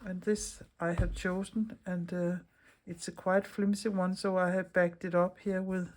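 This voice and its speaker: A Danish woman in middle age hosts a homey craft lesson, her voice sitting on the low side. She speaks accented English as a second language, chatty and unhurried, and often restarts a word mid-phrase. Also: little pitch movement, darkish tone. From Denmark. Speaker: craft video host. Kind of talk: casual craft tutorial talk.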